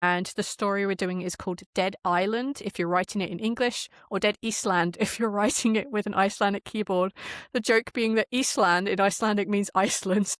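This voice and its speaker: A woman chatting casually as a podcast guest, telling things in an animated, enthusiastic way. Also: mid-range voice, fairly quick, no echo, clean studio sound. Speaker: podcast guest, audio drama creator and game master. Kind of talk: casual interview chat.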